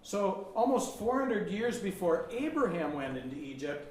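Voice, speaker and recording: A man in his sixties, a pastor teaching a Bible lesson in short phrases and pausing for an interpreter, with a friendly, plain-spoken manner. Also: high-pitched, very animated, a little hiss or hum. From United States. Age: 60s. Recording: roomy hall with faint ambience.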